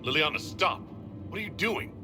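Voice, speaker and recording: A man's voice: a little high, expressive, moderate pace, natural voice. A male actor playing a direct, earnest heroic leader in a video game cutscene. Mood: alarmed, firm. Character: commanding heroic adult male leader of an inquisition. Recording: music behind the voice.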